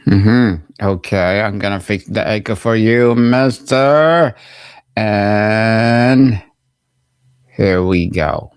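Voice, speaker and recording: Slow, formal male podcast host. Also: fairly low pitch, clear, resonant tone, no room sound, studio quality. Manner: formal, effusive, addresses guest as Mister. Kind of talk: podcast interview hosting.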